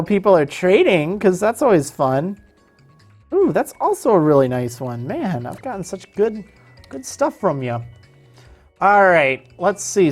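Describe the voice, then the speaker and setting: A woman streaming a video game in casual chat, answering viewers as she plays, her voice strained and tiring. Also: medium pitch. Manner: chatty, answers viewer chat while playing.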